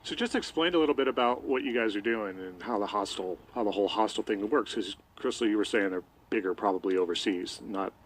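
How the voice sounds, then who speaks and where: A man co-hosting a radio show in a studio, telling a story in a jokey, animated way. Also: on the low side, fairly quick, few pauses, slight background noise, poor audio. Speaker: radio co-host. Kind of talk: casual radio chat.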